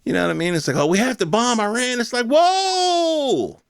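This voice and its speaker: A man's voice: extremely high pitch, big pitch swings, normal speed, creaky, clean tone, no room sound, clean studio sound. An American man hosting a podcast, talking off the cuff in a casual, colloquial opinion monologue full of rhetorical questions. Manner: colloquial, rhetorical questions, mimics imagined speakers. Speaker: podcast host.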